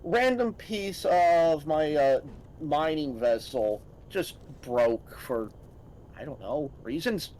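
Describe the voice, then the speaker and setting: A man's voice: fairly high pitch, lively intonation, slow, darkish tone, a little hiss or hum, poor audio. A man chatting in an easygoing, wry way as he plays a co-op game with friends. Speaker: co-player. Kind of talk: casual gaming chat.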